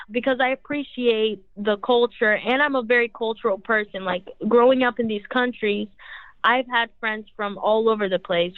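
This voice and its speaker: A woman's voice: mid-range voice, no room sound. A young woman, a singer whose first language is Portuguese, answering interview questions in a rambling way with constant "like" fillers. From Brazil. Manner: rambling, heavy use of "like". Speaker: singer, guest. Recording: tinny phone-like audio.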